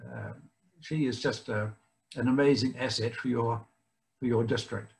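An older man with a New Zealand accent, giving a guest speech over a video call from his home library, often pausing with fillers. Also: mid-range voice, steady tone, gravelly, poor audio. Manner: halting, many fillers and restarts. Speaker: guest speaker.

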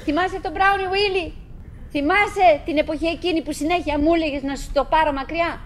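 An actress playing a chatty middle-aged wife in a theatrical radio drama monologue, halting and musing, by turns coaxing and murmuring, wistful, fretful and forcedly cheerful. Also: female voice, very high-pitched, fairly even intonation, slight background noise. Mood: self-admonishing, musing. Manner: halting, musing, self-interrupting, swinging between coaxing and murmuring.

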